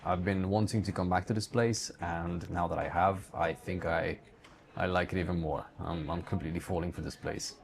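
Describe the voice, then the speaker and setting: Man, from Hungary, low-pitched voice, interview guest, conversational delivery. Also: fairly even intonation, normal speed, vocal fry, slight background noise. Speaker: guest, digital entrepreneur running a book publishing company.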